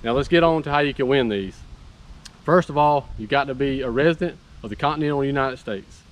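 A man's voice: medium pitch, fairly quick. A man, giving a casual, unscripted product review in a plain, folksy way. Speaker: product reviewer and channel host. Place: outdoors in leafy woods beside a motorcycle.

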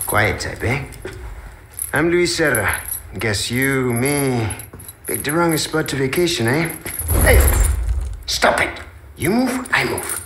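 A man's voice: medium pitch, very animated, slow, long pauses, slight background noise. An actor playing a roguish, chatty Spanish man in a video game, with a Spanish accent and a breezy, teasing manner. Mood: wry. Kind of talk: video game cutscene acting. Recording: roomy echo under loud game music.

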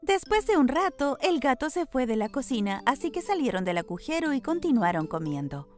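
A woman narrating a scripted children's story with an expressive storyteller's delivery. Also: high-pitched, brisk, soft background music.

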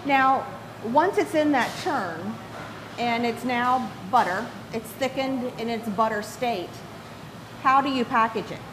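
A woman's voice: fairly high pitch, measured pace. A middle-aged woman hosting an interview, asking inquisitive questions conversationally and recapping what she hears. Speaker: interviewer, host. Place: farm and indoor creamery room. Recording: clear background equipment noise.

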